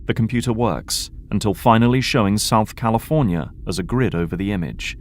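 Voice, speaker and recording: A man narrates an audio drama from a script. His voice is on the low side. A faint noise runs behind the narration. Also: brisk.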